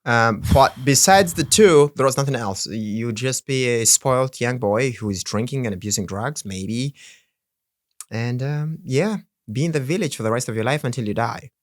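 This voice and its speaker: Guest: Swahili-speaking man from the Congo, earnest, quick to laugh, dry studio sound. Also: medium pitch, no echo. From Democratic Republic of the Congo. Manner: earnest, laughs often, restarts words. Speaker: podcast guest. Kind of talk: casual interview chat.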